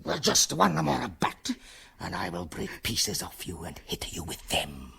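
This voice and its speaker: A man's voice: deep voice, big pitch swings, unhurried, gravelly, sharp tone, breathy edge, heavily acted. A man playing a bossy, hot-tempered Italian crime boss with an Italian accent and broken English in a comic radio play. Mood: bossy, menacing. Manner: broken English, bullying, blustering.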